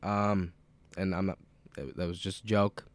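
A podcast host who is sick with a cold and sounds nasal, talking in a loose, rambling, profane way with lots of fillers. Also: male voice, low voice, level tone, moderate pace. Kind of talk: casual rambling chat.

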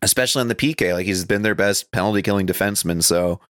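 Low-voiced man, dry close sound. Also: quick pace, a bit bright, dry recording. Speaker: podcast co-host. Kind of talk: casual sports chat.

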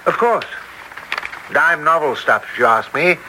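An actor playing a brash, plain-spoken young heir, blunt and stubborn, in 1940s radio drama style. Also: male voice, on the high side, animated, a little slow, sharp tone, full character voice. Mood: defiant. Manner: blunt, forthright. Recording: noisy old radio broadcast recording.

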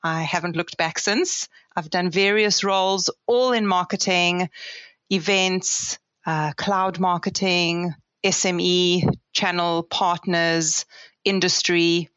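A woman in her forties with a South African accent, chatting as a podcast guest in a relaxed, self-deprecating way. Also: lowish voice, slow, dry recording, lo-fi sound. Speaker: guest, marketing director. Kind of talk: casual interview talk.

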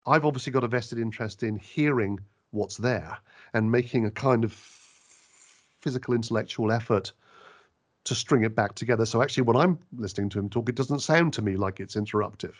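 Animated, emphatic man in conversation. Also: medium pitch, fairly quick. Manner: animated, emphatic, with frequent restarts. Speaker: radio interviewer. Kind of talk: conversational interview answers.

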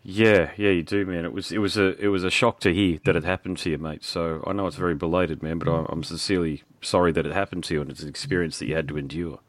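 A man's voice: low-pitched, steady tone, fast talker, hardly any pauses, no echo. A man, hosting a podcast in a friendly, chatty way. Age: 40s. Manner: friendly, chatty, says mate and man a lot. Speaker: podcast host. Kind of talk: casual interview chat.

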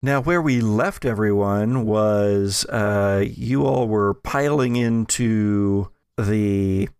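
Male game master, amiable improvised narration, slow and halting, noticeable vocal fry. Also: fairly low pitch, a little mellow, no echo.

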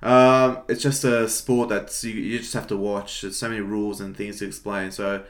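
Young Australian male, lowish pitch, easygoing podcast co-host with dry, self-deprecating delivery. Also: flat delivery, normal speed. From Melbourne, Australia. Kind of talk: casual podcast chat.

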